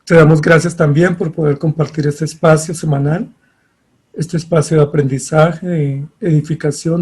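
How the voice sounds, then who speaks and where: A man leading an opening prayer as a pastor, earnest, with a steady listing cadence. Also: on the high side, slightly rough. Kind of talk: spoken prayer.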